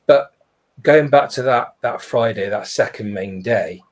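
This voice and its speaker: A man telling a personal story on a live webinar, reflective and earnest, pausing and restarting as he goes. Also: mid-range voice. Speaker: webinar panelist.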